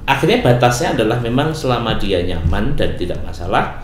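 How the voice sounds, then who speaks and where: A man speaks as a guest in a casual discussion. His mid-pitched voice explains and keeps checking for agreement. The room is echoey, with faint noise behind him. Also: very animated, a little fast. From Bekasi, Indonesia. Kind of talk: casual talk-show discussion.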